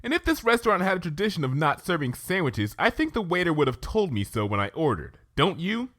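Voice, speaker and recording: Bookish cartoon girl unicorn, male reader, high, prim, indignant and insistent, very lively pitch. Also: fairly quick, no room sound, clean studio sound.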